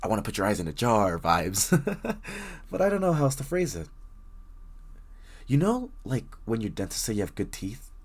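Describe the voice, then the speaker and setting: A man's voice: lowish voice, very animated, moderate pace. A man playing a very shy, bookish young stranger in an intimate roleplay audio drama, stammering, rambling and apologising nervously as he addresses the listener. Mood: shy, warming up.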